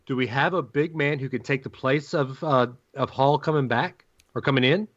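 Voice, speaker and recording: A man's voice: medium pitch, normal speed, fairly bright tone, lo-fi sound. A man co-hosting a sports talk show, giving his opinions and putting questions in a conversational way.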